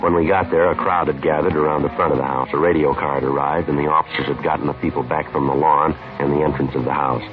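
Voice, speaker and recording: An American man acting as a terse, stoic, courteous police detective, deadpan and clipped, in a 1950s radio drama. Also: extremely low pitch, fast, hardly any pauses, music in the background. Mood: matter-of-fact. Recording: dull, hissy vintage radio recording.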